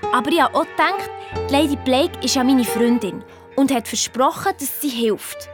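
Spirited young girl sleuth played by a woman, high voice, Swiss German accent, cheeky storyteller for a children's radio play, light music behind. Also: moderate pace, natural voice. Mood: worried. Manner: colloquial, animated storytelling with cheeky retorts. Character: spirited, bickering young girl detective telling her adventure. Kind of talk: children's audio drama narration.

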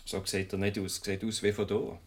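An actor playing a chatty, well-meaning young-ranking Swiss policeman in a radio play, colloquial and halting, with a Swiss accent. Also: lowish voice, flat delivery, slight background noise, natural voice. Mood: helpful.

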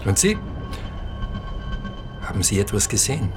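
Unsettling, insistent night-train conductor. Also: male voice, lowish voice, huge pitch swings, normal speed, music in the background. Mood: probing. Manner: probing questions, repeats urgent warnings. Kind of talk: radio drama acting.

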